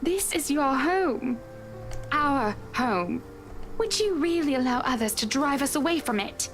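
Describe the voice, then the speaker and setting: High-voiced possessed wife, slow delivery, formal, eerily sweet, possessive, horror game. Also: female voice, lively intonation, faint music behind. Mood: coolly defiant. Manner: slow, formal, cloying endearments.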